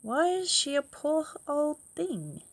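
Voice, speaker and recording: An eager little boy from a children's storybook, voiced by a woman reading aloud. The pitch is lifted and the questions come out loud and inquisitive. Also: big pitch swings, slow, full character voice. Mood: curious. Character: curious little boy who keeps asking questions.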